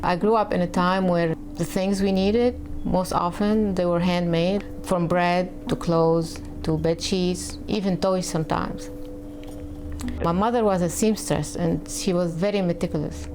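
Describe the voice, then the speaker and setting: A woman's voice: fairly low pitch, fairly even intonation, a little slow. A woman from Lebanon with a Lebanese accent, a potter telling her personal story in an interview. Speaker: artist, potter. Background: faint music.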